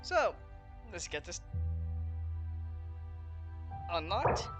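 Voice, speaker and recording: Female gaming host: casual, unhurried, rather low voice, murmuring along over loud music. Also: very animated. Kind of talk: casual game commentary, reading dialogue choices aloud.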